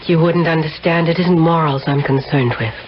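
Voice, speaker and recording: A woman's voice: sits low, steady tone, moderate pace, close to an everyday voice. Sardonic, seductive married woman, played by an actress, arch and guarded, on noisy, muffled vintage radio. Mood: regretful. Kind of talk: 1970s radio drama acting. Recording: dull, noisy 1970s radio broadcast.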